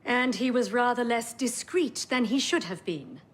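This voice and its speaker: A female council leader , played by an actress with a fairly high voice. She speaks formally and deliberately, with measured authority, as in a historical game drama. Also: expressive, close to an everyday voice. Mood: measured, grave. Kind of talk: dramatic video game voice acting.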